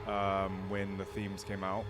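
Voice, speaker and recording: A man's voice: low voice, monotone, slow. A man giving casual reaction commentary as host of a video, informal and thinking aloud. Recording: music playing over the voice. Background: game theme music.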